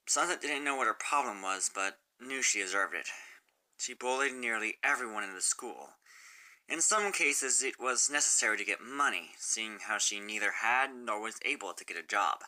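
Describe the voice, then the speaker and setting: Guy reading a sad fanfic out loud, really bright sharp voice, kinda dramatic, trips on words sometimes. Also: on the low side, rough recording. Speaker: fan fiction narrator. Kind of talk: scripted narration of a sad story.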